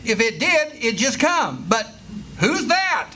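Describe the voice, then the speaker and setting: An actor playing a befuddled old country uncle in a radio play. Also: male voice, very high voice, big pitch swings, slow pace, pushed and bright, full character voice. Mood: puzzled. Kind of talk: old-time radio theatre acting.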